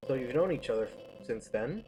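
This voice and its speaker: A man's voice: medium pitch, expressive, fairly quick, natural voice. Man reading as a polite, guarded schoolboy. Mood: reserved, polite. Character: polite, guarded male high school student. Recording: clearly noisy background.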